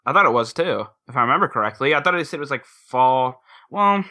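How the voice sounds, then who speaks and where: A man's voice: mid-range voice, expressive, quick pace, pushed and bright. A man chatting casually as a podcast panelist, repeating himself and leaning on filler phrases. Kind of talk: casual chat.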